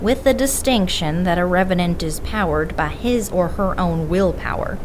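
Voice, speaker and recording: A woman's voice: lowish voice, moderate pace, background noise, lightly acted. A woman playing a stern female investigator who knows supernatural lore, explaining firmly in an audio drama. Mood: lecturing. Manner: lecturing, firm. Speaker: occult expert investigator.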